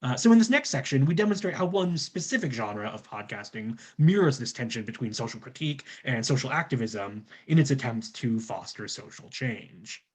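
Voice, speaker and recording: Husky-voiced man presenting research, animated pitch. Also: normal speed, few pauses. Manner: frequent uh before phrases, formal scholarly wording. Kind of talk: scripted academic presentation read aloud.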